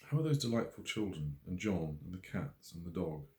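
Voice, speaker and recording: A man's voice: low voice, big pitch swings, moderate pace, dark tone, roomy sound. A man voicing an affectionate, teasing woman in an audiobook story, talking in a fond, wry way. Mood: fond, apologetic. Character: affectionate, teasing woman chatting with lifelong friend.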